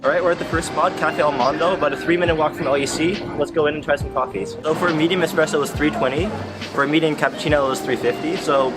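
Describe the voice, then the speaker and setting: A young man talks quickly in a casual, informal way, as a student co-host of a review vlog. His wording is loose and slangy. Music plays behind him. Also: fairly high pitch, fairly even intonation. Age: young adult. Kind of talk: casual chat.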